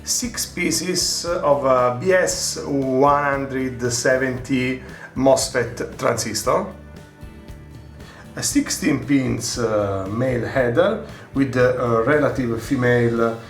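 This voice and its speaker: A middle-aged man with an Italian accent, speaking in English, which is not his first language. He talks very slowly and stops often for filler sounds. His voice rings in an echoey room with faint guitar music behind. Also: medium pitch. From Italy. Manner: slow, careful, many filler sounds. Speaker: hobbyist presenter. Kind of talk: unboxing a radio kit.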